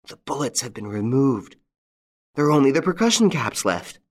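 A man's voice: medium pitch, big pitch swings, normal speed, voice pushed up. Jumpy young henchman, clipped lines, voiced by a male narrator. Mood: tense. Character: young man, nervous nephew and accomplice.

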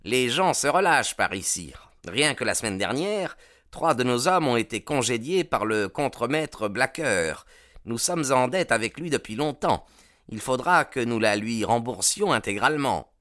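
A man's voice: mid-range voice, animated, normal speed, fairly bright tone, voice pushed up, lightly acted. A man reading aloud, voicing a vengeful gang member who talks in a heated, raised voice. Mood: angry.

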